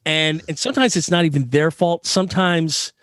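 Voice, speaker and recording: A man's voice: fairly high pitch, moderate pace, fairly bright tone, dry recording, clean studio sound. A Canadian man answering as a guest in a conversational interview, earnest and emphatic, repeating phrases for stress. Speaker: sports arena PA announcer being interviewed.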